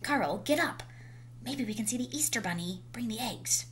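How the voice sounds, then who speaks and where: A woman's voice: fairly high pitch, lively intonation, moderate pace, fairly bright tone, voice pushed up. A woman voicing an eager little girl in a children's storybook, urgent and pleading, on a home recording. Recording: noisy home video recording.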